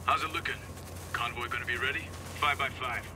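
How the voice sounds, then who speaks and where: A man's voice: mid-range voice, steady tone, brisk, noisy background, natural voice. A man as a clipped soldier guard. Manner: clipped military radio talk. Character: armed male soldier guard.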